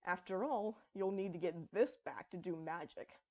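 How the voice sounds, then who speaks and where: A woman voicing a mischievous, theatrical male chaos creature with a drawn-out, teasing delivery in a story reading. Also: fairly low pitch, darkish tone, muffled recording. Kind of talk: fan fiction story reading.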